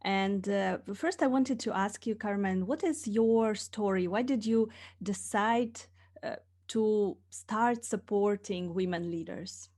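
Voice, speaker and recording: A woman's voice: mid-range voice, slow, a little mellow. A woman hosting a podcast interview, introducing her guest with frequent hesitant "uh" fillers. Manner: hesitant, many filler uhs. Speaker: podcast host.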